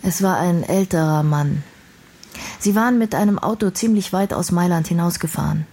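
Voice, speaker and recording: A woman's voice: lowish voice, normal speed, warm tone. An actress playing an intelligent, outspoken young woman in a radio play, speaking in an articulate, reflective and earnest way. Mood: subdued.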